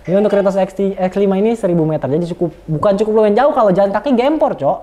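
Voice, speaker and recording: A man's voice: high-pitched, animated, racing, mellow. A man, giving an informal, slangy, unscripted product review in a chatty way.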